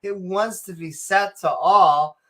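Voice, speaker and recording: Sarcastic, slow-talking podcast host. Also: female voice, lowish voice. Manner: animated, sarcastic, full of asides. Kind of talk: casual comedic chat.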